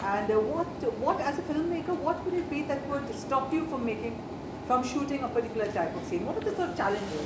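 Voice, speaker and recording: A woman, asking measured, probing interview questions and using fillers as she talks. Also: sits high, expressive, heavy background noise.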